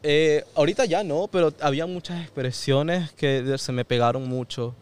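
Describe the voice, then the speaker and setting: Reflective Venezuelan man, smooth voice. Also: fairly high pitch, fairly even intonation, moderate pace. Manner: reflective, thinks aloud with fillers and restarts. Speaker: podcast guest. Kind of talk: casual conversation.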